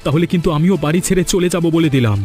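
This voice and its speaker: Man acting an earnest young husband, mellow voice. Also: a little high, quick pace, faint music behind, lightly acted. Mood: protesting. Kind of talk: Bengali fairy-tale cartoon dubbing.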